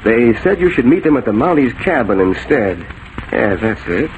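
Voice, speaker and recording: An actor playing a gentle, devoted middle-aged father in a 1940s radio drama. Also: medium pitch, sing-song, normal speed, muffled recording. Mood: hesitant. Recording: faintly noisy 1940s radio recording.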